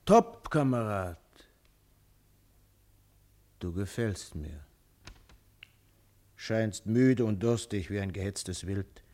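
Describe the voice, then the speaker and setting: A man playing a huge, menacing but roguish robber in a German radio play, taunting and swaggering with rough camaraderie. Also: on the low side, lively intonation, drawn-out words, long pauses, a little mellow. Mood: roguish, jovial. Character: huge, menacing male robber, roguishly jovial, armed with club.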